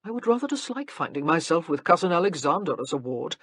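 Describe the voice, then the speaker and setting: A woman reads a languid young duke with a dry, mocking wit. The storybook line is drawled rather than pushed, with a slightly husky, dry tone. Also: low voice, sing-song, quick pace, no room sound, exaggerated voice. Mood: sardonic.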